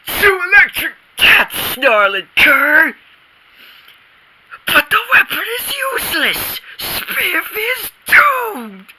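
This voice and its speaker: A bright, hyperactive six-year-old boy, put on by a performer, whose voice leaps wildly up and down as he narrates space battles. He talks slowly and bursts into shouts. He is a scheming little troublemaker. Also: mid-range voice, sing-song, voice pushed up, full character voice, low-quality recording. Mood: hyper shouting. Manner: wild swings from shouting to mock-heroic narration. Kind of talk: comic strip read aloud with voices.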